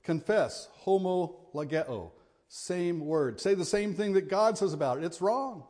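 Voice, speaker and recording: Middle-aged guy preaching, big voice, earnest, gets forceful when he's urging folks. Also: high voice, expressive, a little slow. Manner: earnest, building to forceful exhortation. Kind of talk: sermon.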